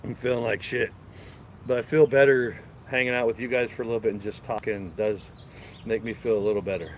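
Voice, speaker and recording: A stuffed-up man chatting loosely to his live-stream viewers as he strolls through his garden with a phone in hand. His voice sits at an ordinary middle pitch, and faint outdoor noise runs behind him. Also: fairly even intonation, brisk. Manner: rambling, laid-back, talks to chat while walking. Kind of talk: casual live-stream chat. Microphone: phone camera held while walking.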